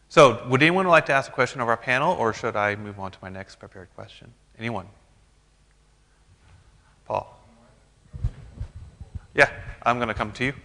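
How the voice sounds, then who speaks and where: A man moderating a conference panel, speaking briskly and directly to the audience. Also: on the low side, pushed and bright. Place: large conference hall. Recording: long reverb of a conference hall.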